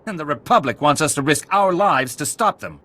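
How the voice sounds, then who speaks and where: An actor playing a skeptical, scornful army captain in a video game. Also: male voice, on the high side, lively intonation, brisk, sharp tone. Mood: indignant. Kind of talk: video game cutscene acting.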